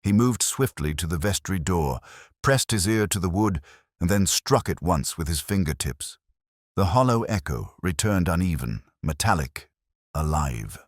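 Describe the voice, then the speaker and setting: A man reading a Victorian detective mystery as a measured, literary first-person story. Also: sits low, animated, dry recording, studio quality. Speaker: audiobook narrator.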